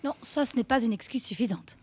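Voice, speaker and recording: High, bossy, gushing group leader. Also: female voice, a little fast, darkish tone, slightly rough. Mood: brisk, patronising. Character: brisk, falsely cheerful woman running a support circle. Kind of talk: radio drama, satirical group facilitator.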